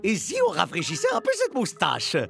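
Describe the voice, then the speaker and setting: An actor voicing a sly, coaxing barber with a creaky voice in a cartoon dub. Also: medium pitch, wildly swooping pitch, a little fast, a bit bright, soft background music, heavily acted. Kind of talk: animated cartoon dub acting.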